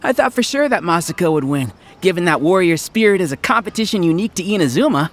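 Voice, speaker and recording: A curious, talkative townsman from an anime game. His fairly high voice muses in lively swoops, with slight noise in the background. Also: fast talker. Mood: surprised, musing. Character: chatty, curious male townsperson.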